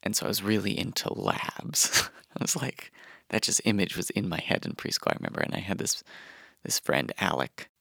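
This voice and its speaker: A man's voice: low-pitched, very animated, normal speed, vocal fry, sharp tone, dry recording, studio quality. A man casually telling childhood stories in a conversational, chuckling way, with music underneath. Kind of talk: casual personal storytelling.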